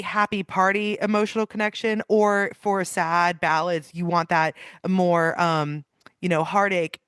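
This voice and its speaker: A smooth, resonant voice recorded dry and close. The podcast host sounds chatty and enthusiastic and leans on "like" a lot. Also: mid-range voice, fairly bright tone, dry recording. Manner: enthusiastic, chatty, heavy use of "like". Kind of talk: casual interview talk.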